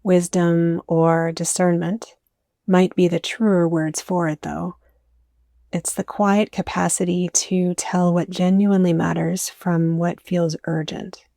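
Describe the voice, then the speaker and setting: A woman leading a guided meditation, speaking gently and soothingly in scripted, softly inviting phrases. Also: fairly low pitch, flat delivery, takes it slowly, vocal fry, no room sound, clean studio sound.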